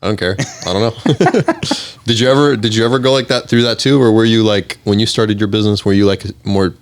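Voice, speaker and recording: A man's voice: fairly low pitch, lively intonation, fairly quick. A man in his twenties telling his own story as an interview guest in a casual, informal way, often quoting his thoughts. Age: 20s. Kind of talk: casual chat, personal storytelling.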